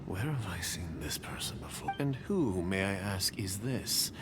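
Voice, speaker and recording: Lowish-voiced, suspicious henchman. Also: very animated, a little slow, noisy background. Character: suspicious, brooding male henchman, guarded and secretive. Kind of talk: video game character acting. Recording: game audio with music underneath.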